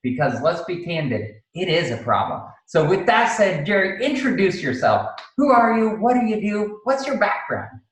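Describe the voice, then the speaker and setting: A man's voice: high voice, sing-song, moderate pace, hardly any pauses. A man hosting a podcast, introducing his guest in an upbeat, enthusiastic way. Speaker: podcast host. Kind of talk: hosted interview introduction. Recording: very echoey room.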